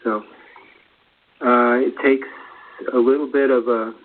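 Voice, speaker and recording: Flat-toned, hesitant man on a phone line. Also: mid-range voice, slow pace. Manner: hesitant, searching for words, repeats himself. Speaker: guest commentator. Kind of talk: rambling spiritual discussion. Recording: thin phone-line radio audio.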